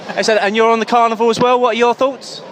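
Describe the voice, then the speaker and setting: A man's voice: very high voice, level tone, fast talker, slight background noise. A man co-hosting a podcast clip at an outdoor beer festival, upbeat and stammering. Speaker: podcast co-host. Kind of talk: casual chat.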